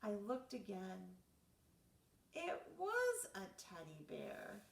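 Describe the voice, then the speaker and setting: A woman reading a children's bedtime story aloud in an expressive, dramatizing way. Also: mid-range voice, slow, gravelly. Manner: animated, dramatizing dialogue for children. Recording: roomy echo, distant mic.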